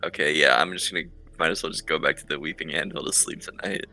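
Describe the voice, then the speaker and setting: A man's voice: extremely low pitch, fairly even intonation, quick pace, vocal fry, sharp tone, faint music behind, rough recording. A man chatting casually as a player in a tabletop game. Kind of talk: casual chat.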